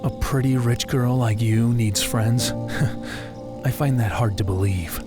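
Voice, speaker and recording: A man's voice: medium pitch, slow pace, lightly acted. An actor playing a guarded, self-deprecating young American working-class man in an audio drama, with a slangy, laconic way of talking. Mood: guarded, curt. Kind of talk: naturalistic audio drama dialogue. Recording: music playing behind the voice.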